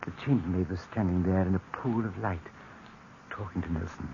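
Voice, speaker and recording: A man narrating in the first person for an old radio drama, tense and breathy in places. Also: low-pitched, a little fast. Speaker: narrator and lead. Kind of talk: 1940s radio acting. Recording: dull old radio recording with hiss.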